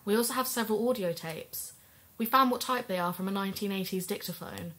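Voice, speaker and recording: A woman's voice: medium pitch, brisk, fairly bright tone, close to an everyday voice. An actress playing a sincere, determined woman who co-hosts an investigative podcast in an audio drama. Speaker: podcast co-host.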